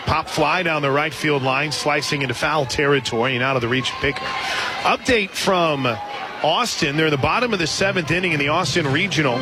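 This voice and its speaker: A man calling softball live on the radio as the play-by-play announcer. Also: on the high side. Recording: loud crowd and music behind broadcast. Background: ballpark crowd and music.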